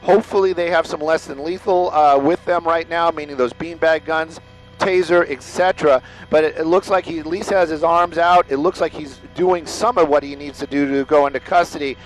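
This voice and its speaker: Higher-voiced American man reporting live. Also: steady tone, faint music behind, poor audio. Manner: halting, repetitive, ad-libbed delivery. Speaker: helicopter news reporter. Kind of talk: live breaking news commentary on a police chase.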